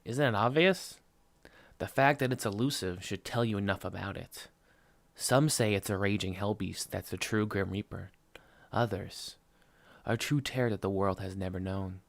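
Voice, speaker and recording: A man's voice: lowish voice, moderate pace, gravelly, dry recording. A man playing a surly, sarcastic, foul-mouthed grudging informant in an audio drama. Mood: ominous. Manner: sarcastic, irritable, cursing.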